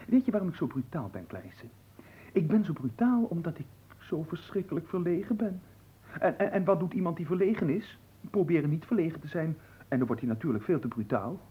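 Cheeky, husky-voiced flirtatious manservant. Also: male voice, on the high side, lively intonation, fairly quick, rough recording. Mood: wooing. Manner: theatrical, coaxing, breaking into hushed pleading. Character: cheeky, flirtatious manservant, smooth-talking and playfully servile. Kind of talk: Dutch radio play acting.

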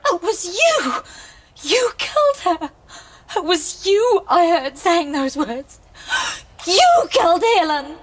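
A woman playing a well-bred, highly strung young English wife in a radio drama, her voice breathy. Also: very animated, slow, heavily acted. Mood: terrified. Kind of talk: British radio drama acting.

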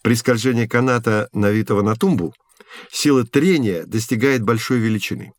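A man playing a patient, learned science mentor who explains things in a measured, didactic way in an educational audio drama. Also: medium pitch, natural voice. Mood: explaining. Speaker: teacher figure.

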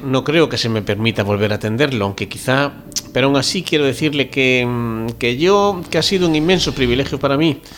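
A man's voice: mid-range voice, slight background noise, close to an everyday voice. A man acting an earnest, conventional doctor in a radio drama, formal and polite, with a hesitant, flustered manner. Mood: halting, sincere.

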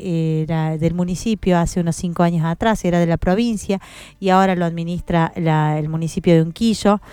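A woman hosting a radio show, speaking in a relaxed, informal way as she chats with her co-host. Her voice is very clean and smooth, recorded close and dry with almost no room sound. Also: medium pitch, no room sound. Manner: warm, informal, talks to co-host as "compa". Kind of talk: conversational radio chat.

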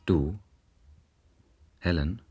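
An actor playing an earnest, shy, excitable young space researcher in a German science fiction radio play, speaking hesitantly. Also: male voice, low-pitched, extremely slow, slight background noise. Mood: shy, confiding. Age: young adult.

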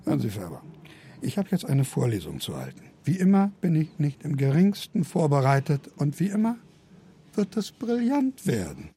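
A man's voice: fairly high pitch, wildly swooping pitch, normal speed, warm tone, slight background noise. An actor playing a pompous, mocking older male professor in a German radio play, imperious, theatrical and sardonic in manner. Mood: self-satisfied.

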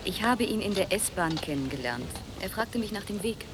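A woman playing a guarded West German woman in a radio play. She speaks at a medium pitch in curt, dry, questioning phrases, with very loud vehicle ambience around her. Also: lively intonation, normal speed, very noisy, close to an everyday voice. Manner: short, dry, questioning replies. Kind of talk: radio drama acting.

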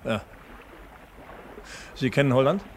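An actor playing a reserved, secretive Dutch passenger in a radio crime drama, polite and terse. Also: male voice, medium pitch, animated, slow pace. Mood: polite, calm. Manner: terse, guarded. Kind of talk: 1970s German radio crime drama dialogue. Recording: radio play with clear boat ambience noise. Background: boat and water sounds.

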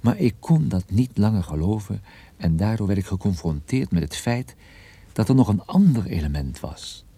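An actor playing a wry, sardonic male private detective, narrating his own case in a measured radio drama style. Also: lowish voice, big pitch swings, warm tone, breathy edge, heavily acted. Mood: wry, reflective.

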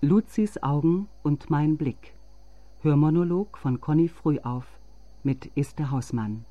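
A man's voice: on the high side, measured pace, dry recording, muffled recording. A man reading scripted credits as a radio drama announcer, in a dry studio recording with dulled sound.

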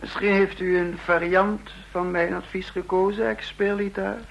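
Skeptical intelligence chief. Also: male voice, sits high, flat delivery, gravelly, fairly bright tone, dull audio. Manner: doubtful, measured. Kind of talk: radio drama acting.

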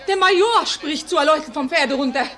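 Over faint crowd noise, an agitated village woman in a radio drama. Her voice is extremely high, urgent and breathless, as one carrying bad news. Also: moderate pace, pushed and bright. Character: excited female villager bringing alarming news. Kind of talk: German radio drama acting.

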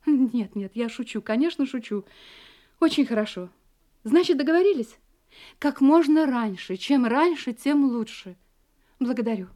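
A woman's voice: high-pitched, animated, moderate pace, mellow. An actress in a Russian audio drama playing an anxious wife who hides her terror behind sweet domestic composure. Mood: urgent, controlled.

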